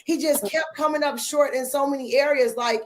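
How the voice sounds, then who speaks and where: High-voiced woman telling a lively story. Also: fairly quick. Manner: animated storyteller, laughing asides. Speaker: podcast co-host. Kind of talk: casual group chat.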